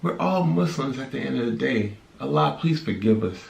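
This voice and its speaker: A man's voice: medium pitch, animated, moderate pace, creaky, darkish tone. A man hosting a reaction vlog, talking casually in a hype, slangy, exclamatory way with an echoey, roomy sound. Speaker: reaction video host.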